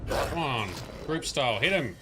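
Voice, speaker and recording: A man's voice: mid-range voice, expressive, measured pace, poor audio. A man giving chatty, rambling live commentary while he plays a game and talks to his chat. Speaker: streamer. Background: faint game music.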